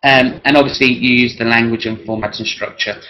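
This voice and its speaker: Hoarse man, halting and throat-clearing. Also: mid-range voice, fairly even intonation, pushed and bright. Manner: keeps clearing his throat; halting, hesitant delivery with tag questions. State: hoarse, losing his voice. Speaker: work-based assessor. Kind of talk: one-to-one assessment planning talk. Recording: dull lo-fi recording.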